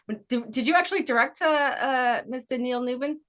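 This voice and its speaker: A woman's voice: sits high, brisk, fairly bright tone. A woman hosting a casual interview, playful and gushing, often jumping in on her guest. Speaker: show host, interviewer.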